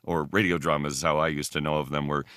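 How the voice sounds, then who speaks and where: Deep, smooth, crisp male podcast guest. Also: little pitch movement, fast, a bit bright, no echo, studio quality. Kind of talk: casual podcast chat.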